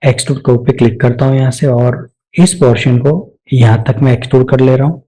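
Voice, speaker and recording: A man with a dark, soft-edged voice walks through software steps as an instructor. He speaks at a middling pitch, explaining off the cuff and dropping in English technical terms as he goes. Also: steady tone, a little fast, low-quality recording. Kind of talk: step-by-step screen tutorial, spoken off the cuff.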